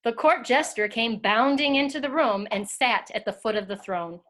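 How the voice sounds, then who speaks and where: A woman narrates a storybook tale from a script. Her voice sits a little high, and she reads as a storyteller. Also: moderate pace, a bit bright.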